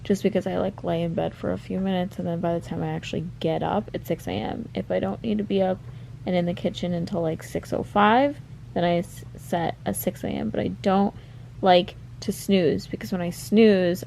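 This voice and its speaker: A woman's voice: on the low side, fairly quick, vocal fry, a little hiss or hum, poor audio. Chatty young woman vlogging at home. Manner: chatty, relaxed, says "like" and "you guys" often. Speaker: lifestyle vlogger. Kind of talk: casual chat to camera, showing a routine. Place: her home, bedroom and kitchen.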